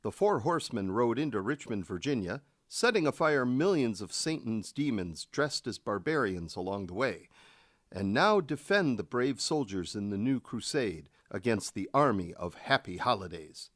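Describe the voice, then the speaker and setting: A man's voice: mid-range voice, big pitch swings, normal speed, no echo, clean studio sound. A man playing an overwrought, zealous field news correspondent in a satirical radio drama. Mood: overwrought, earnest. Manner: melodramatic.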